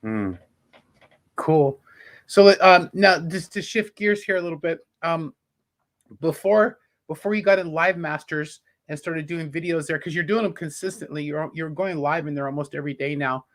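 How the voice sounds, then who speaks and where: A man's voice: on the high side, moderate pace. A man with a casual, chatty style, acting as an interviewer. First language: English.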